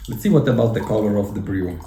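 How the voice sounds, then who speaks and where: A man with an Italian accent hosting a tea tasting, talking in a relaxed, chatty way with frequent fillers. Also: lowish voice, fast talker, reverberant, slight background noise. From Italy. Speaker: tea channel host.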